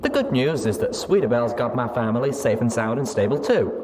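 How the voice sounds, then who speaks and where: Someone acting a doomed guilty pony, soft and folksy, distraught, pitch all over, loud rumbling noise behind. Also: very animated, fairly quick, lightly acted. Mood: despairing, remorseful. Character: grieving, guilt-ridden doomed pony on a last recording. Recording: very noisy, rumbling wartime field recording. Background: sirens and bombardment noise.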